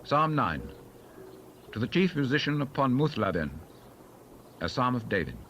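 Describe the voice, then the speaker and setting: A male announcer reads scripture titles formally. His medium-pitched voice moves with lively intonation, over a faint layer of background noise. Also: normal speed, muffled recording.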